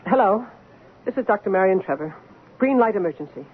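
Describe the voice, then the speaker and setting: An actress playing an anxious, guilt-ridden doctor, earnest and emotional in an old-time radio drama style. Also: female voice, mid-range voice, expressive, close to an everyday voice. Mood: urgent, clinical. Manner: earnest, emotional, halting when distressed. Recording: tinny, hissy old radio broadcast.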